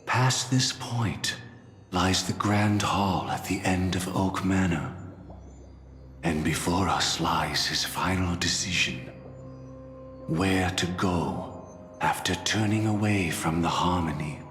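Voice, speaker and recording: Brooding fantasy-game man: slow, measured, solemn, theatrical; heavy reverb with music underneath. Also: sits low, fairly bright tone. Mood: calm, serious. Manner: grave, deliberate, theatrical delivery. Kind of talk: dramatic video game voice acting. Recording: echoey hall reverb, music behind.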